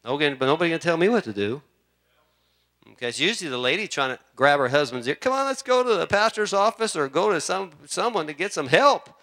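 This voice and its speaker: A pastor in his fifties preaches from the pulpit, his voice a touch high for a man. His tone is bright and forceful. He hammers single words again and again and builds to fervent urging. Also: animated, fairly quick. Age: 50s. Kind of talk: sermon. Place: church sanctuary.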